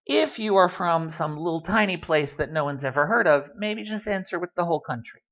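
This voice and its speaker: A man's voice: fairly high pitch, animated, fairly quick, fairly bright tone, lo-fi sound. Chatty man teaching Spanish. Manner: chatty, wry asides, many ums and uhs. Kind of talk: casual video lesson.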